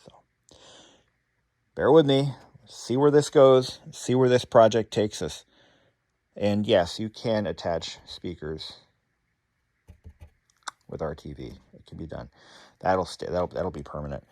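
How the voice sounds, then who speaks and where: A man's voice: lowish voice, slow pace, pauses often, darkish tone, no room sound, studio quality. A man hosting a hobby repair channel, talking casually to viewers in a rambling, wry way with sarcastic asides.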